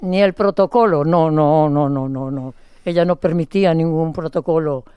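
A woman's voice: low voice, normal speed, warm tone, clear, resonant tone, no echo. An older woman from Spain giving a chatty, playful interview, rambling and restarting her sentences often. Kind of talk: casual phone interview.

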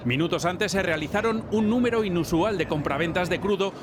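A male news reporter delivers a scripted report in a fairly high voice. Clear ambient noise runs behind him. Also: a little fast.

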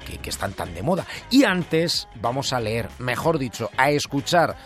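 A man with an accent from Spain hosting a radio show, informal and conversational, talking straight to listeners. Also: mid-range voice. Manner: informal, addresses listeners directly. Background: music.

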